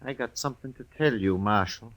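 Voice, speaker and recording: A man's voice: mid-range voice, fairly quick, fairly bright tone, natural voice. Actor playing an odd, insistent frontier whiskey salesman. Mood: ominous, insistent. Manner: rambling, insistent, ominous. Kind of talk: 1950s radio western acting.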